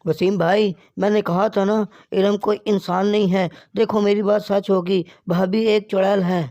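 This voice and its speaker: A man's voice: sits high, level tone, unhurried, a little mellow, dry recording, clean studio sound. A man voicing an anxious, loyal friend in a horror cartoon. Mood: alarmed. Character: anxious, loyal male friend warning of a witch.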